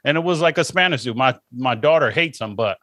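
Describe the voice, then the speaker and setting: A young adult man co-hosting a podcast, chatting casually with profane jokes and quick silly voice bits. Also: mid-range voice, expressive, fairly bright tone, dry recording, studio quality. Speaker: podcast co-host. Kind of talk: casual chat.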